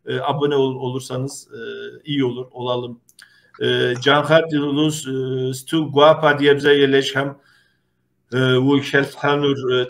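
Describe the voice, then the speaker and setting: Male interview host, unhurried and hesitant, medium pitch, casual, on a video call. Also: a bit bright.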